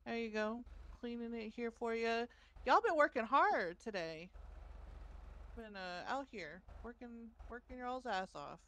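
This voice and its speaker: A woman's voice: fairly high pitch, animated, measured pace, fairly bright tone. A woman chatting casually in character during an online roleplay game. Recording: clearly noisy, game ambience behind.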